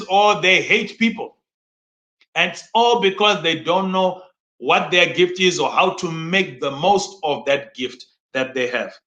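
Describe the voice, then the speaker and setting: A man's voice: sits high, pushed and bright. A man preaching in an instructive, motivational style, speaking emphatically and often posing questions that he answers himself. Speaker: preacher and teacher. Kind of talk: motivational sermon teaching. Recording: echoey, roomy sound.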